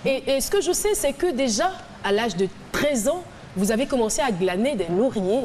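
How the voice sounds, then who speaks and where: A woman hosting a TV talk show, announcing guests and filling pauses with many hesitations. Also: fairly high pitch, expressive. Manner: hesitant with many fillers, announcing loudly to the crowd. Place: large TV studio with live audience. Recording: loud studio crowd and noise behind.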